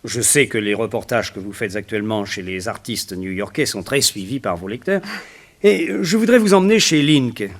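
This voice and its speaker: A man's voice: fairly low pitch, animated, moderate pace. Courteous, theatrical madman villain. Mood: courteous, charming. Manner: polite, savouring, theatrical delivery. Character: smooth, mad, courteous rich villain who collects statues. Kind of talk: 1960s French radio drama acting.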